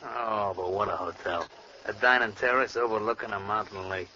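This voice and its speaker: A man's voice: fairly low pitch, normal speed, sharp tone. An actor playing a cocky, wisecracking gunman at ease in a 1940s radio crime drama. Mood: amused, relaxed. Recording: noisy lo-fi 1940s radio recording.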